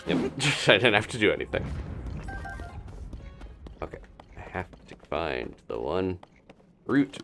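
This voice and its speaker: A man's voice: sits low, big pitch swings, slow, vocal fry, long pauses, music in the background. A man giving casual live gameplay commentary as a streamer, thinking aloud as he plays. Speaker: game streamer, host.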